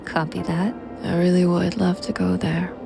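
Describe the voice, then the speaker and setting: A woman's voice: on the low side, level tone, normal speed, creaky, music in the background. An actress playing a teasing, wistful woman in a noir video game, speaking in a playful, intimate way. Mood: wistful, coaxing.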